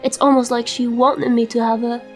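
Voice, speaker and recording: A scruffy little rogue with hero pretensions, voiced by a woman. The talk is gruff, slangy and rough in grammar, pitched high for a fantasy comic dub, with faint music underneath. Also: level tone, normal speed, natural voice. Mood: musing, self-satisfied. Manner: colloquial slurred vernacular with broken grammar. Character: scruffy uneducated thief, gruff, sly, would-be hero.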